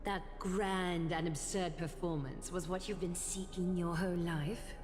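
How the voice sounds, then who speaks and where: A woman's voice: fairly low pitch, steady tone, unhurried, background noise, close to an everyday voice. A woman voicing a weary, ancient leader in a video game, regal and resolute, speaking in a composed, formal, solemn way. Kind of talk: scripted game voice acting. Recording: long reverb, music behind.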